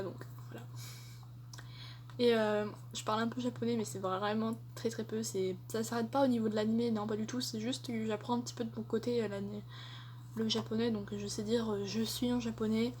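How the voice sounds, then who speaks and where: A woman's voice: fairly high pitch, darkish tone, a little hiss or hum. A young woman answering tag questions in a casual vlog, chatty and hesitant with many fillers. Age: young adult. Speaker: vlogger.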